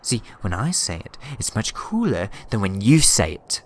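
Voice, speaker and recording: An actor playing a sly time-travelling schemer in a sci-fi fan audio drama, teasing and mock-polite. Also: male voice, fairly low pitch, very animated, normal speed. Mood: petty, commanding. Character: sly, smug, meddling male alien time-traveller schemer. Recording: loud music behind the voice. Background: dramatic music.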